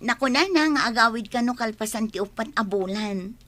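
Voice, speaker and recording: A chatty Ilocano village woman played by an actress in a radio drama. Her voice sits a little high, and she shares news in an admiring, gossipy way. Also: brisk, pushed and bright.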